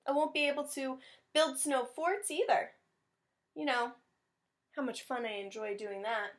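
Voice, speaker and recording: A woman's voice: sits high, fairly even intonation, unhurried. A woman voicing a stubborn, grumbling rabbit who misses winter, in a children's picture-book read-aloud. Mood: complaining. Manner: grumbling, complaining.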